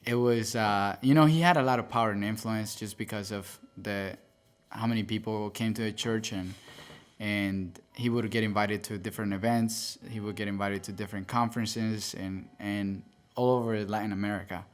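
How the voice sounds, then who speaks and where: A young man from El Salvador speaks as a podcast guest in a lowish voice. He tells his story earnestly and casually, and he stops often to restart and correct himself. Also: steady tone, normal speed, hardly any pauses. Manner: earnest, with many fillers and self-corrections.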